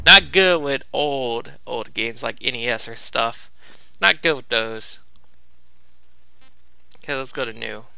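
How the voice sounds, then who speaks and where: Laid-back young male gamer, slow and creaky, dry close mic, casual commentary. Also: medium pitch, bright, forceful tone, no echo, soft background music. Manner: laid-back, thinking aloud. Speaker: gamer recording a walkthrough.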